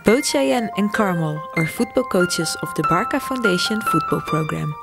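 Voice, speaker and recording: A woman's voice: on the low side, lively intonation, moderate pace, creaky. A woman reading scripted narration as the narrator of a short documentary piece. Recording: loud music under the voice. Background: loud music.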